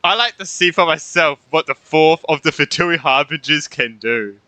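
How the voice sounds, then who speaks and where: A young man doing casual gameplay commentary, excitable and slangy as he reacts aloud. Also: a little high, normal speed, no echo. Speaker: gaming streamer. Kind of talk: casual let's play commentary.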